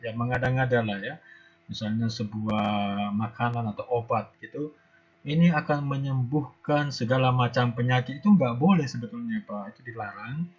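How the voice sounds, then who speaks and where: A hoarse man giving an online university lecture, pausing often with fillers, heard over a video call. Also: fairly low pitch. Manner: hesitant, many filler pauses. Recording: dull lo-fi video call audio.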